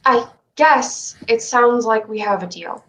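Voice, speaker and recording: A woman's voice: fairly high pitch, unhurried, background noise, lightly acted. A woman in a staged play reading playing a defiant, suspicious, hot-headed child on a ship. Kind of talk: staged reading of a play over video stream.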